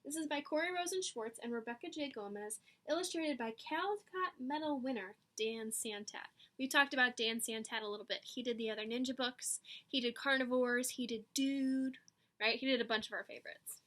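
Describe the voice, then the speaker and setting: A woman's voice: a little high, fairly quick, fairly bright tone. A woman reading a picture book aloud to children with dramatic emphasis and teacherly asides. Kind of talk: expressive read-aloud storytelling with asides to children.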